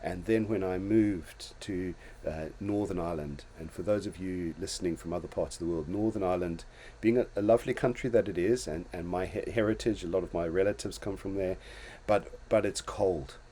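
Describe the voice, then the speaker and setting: A man's voice: deep voice, fairly quick, hardly any pauses, mellow, a little hiss or hum. An older man from Zimbabwe hosting a casual talk show, reflecting on his own life in a storytelling way with many restarts and small chuckles. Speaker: host.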